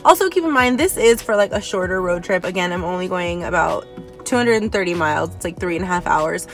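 A woman's voice: lowish voice, lively intonation, brisk, faint music behind. A woman in her twenties talking casually and upbeat to the camera from the driver's seat of a parked car. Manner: chatty and upbeat, frequent "like" fillers. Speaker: vlogger. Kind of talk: casual vlog talk to camera.

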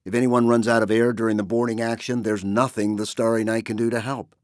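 A man's voice: lowish voice, flat delivery, a little fast, dry recording, clean studio sound, voice pushed up, natural voice. A man voicing a stern, cautious female starship captain in a blunt military manner, for an audiobook. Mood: firm, skeptical. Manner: firm, blunt, formal military.

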